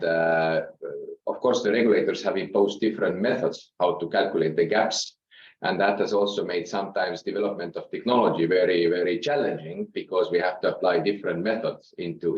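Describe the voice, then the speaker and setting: A man who is not a native English speaker, giving a measured, halting business presentation to investors as a company executive. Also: low voice. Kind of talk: business presentation on an earnings call. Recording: roomy, slightly dull conference-call sound.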